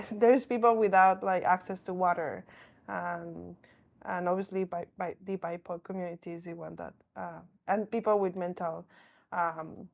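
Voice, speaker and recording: A woman's voice: on the low side, creaky. A woman in her thirties from Mexico, an artist chatting playfully and often laughing in a casual conversation. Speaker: artist guest.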